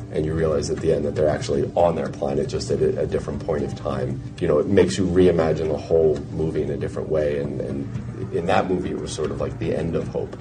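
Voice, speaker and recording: American male, extremely deep register, explanatory interview commentary, clear music bed underneath. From United States. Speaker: television producer interviewed about a show. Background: background music.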